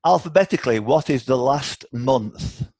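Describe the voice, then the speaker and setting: A man's voice: medium pitch, expressive, moderate pace, a little mellow, no room sound. A man hosting a live online quiz, chatty and encouraging as he reads questions aloud. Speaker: quiz host. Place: online video call.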